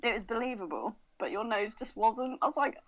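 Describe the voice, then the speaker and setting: A woman's voice: fairly high pitch, expressive, a little fast, poor audio. A woman co-hosting a podcast, chatting casually about a film in a giggly, sweary way. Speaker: podcast co-host.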